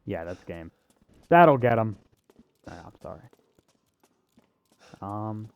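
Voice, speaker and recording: A man's voice: lowish voice, sing-song, takes it slowly, a little mellow, clear, resonant tone. A young man giving casual, joking game commentary in a rambling, sarcastic way. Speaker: gamer.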